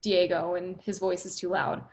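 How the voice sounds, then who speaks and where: A woman with a loud voice, speaking as a company guest in an interview, upbeat, laughing often and leaning on "right?" and "you know.". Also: lowish voice, level tone, moderate pace, slight background noise. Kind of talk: conversational interview.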